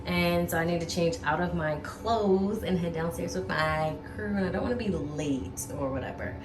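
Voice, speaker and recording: A woman's voice: fairly low pitch, moderate pace, over music. A woman flight attendant chatting casually to her phone camera in a travel vlog, bubbly and full of fillers. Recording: roomy sound with background noise.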